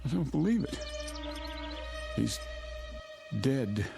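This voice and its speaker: A man's voice: mid-range voice, very animated, slow, gravelly, full character voice. An actor playing a suspicious, agitated male newspaper reporter in a radio drama, blunt and defiant in his questioning. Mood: shocked, disbelieving. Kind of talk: classic radio drama acting. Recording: radio drama with music underneath.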